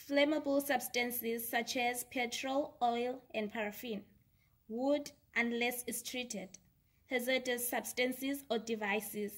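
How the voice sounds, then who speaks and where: A young adult woman giving a scripted instructional explanation as a science fair presenter. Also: a little high. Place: classroom or lab with display boards, indoors.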